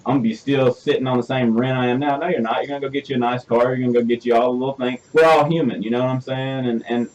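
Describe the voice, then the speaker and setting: A man in his twenties with a Southern US accent, hosting a casual interview and talking in a chatty, animated way with frequent restarts. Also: mid-range voice, racing, few pauses, lo-fi sound. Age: 20s. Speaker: host.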